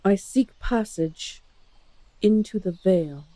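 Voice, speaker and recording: A woman's voice: medium pitch, slow pace, dark tone, no room sound, lightly acted. A woman playing a bitter, outspoken heretic in a fantasy audio drama, terse and sardonic. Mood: bitter sarcasm.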